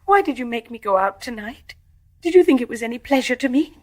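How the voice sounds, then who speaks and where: An actress playing a young, guilt-ridden English wife in a radio drama, genteel and restrained in Received Pronunciation, tender and gently teasing but emotionally charged. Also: female voice, on the high side, animated, a little fast. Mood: anguished. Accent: British Received Pronunciation.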